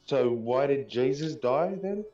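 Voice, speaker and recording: A man's voice: mid-range voice, expressive, a little slow, mellow. Muffled, echoey man asking probing questions. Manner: probing, challenging. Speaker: debate panelist. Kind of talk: casual questioning debate. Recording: dull, roomy audio, faint music.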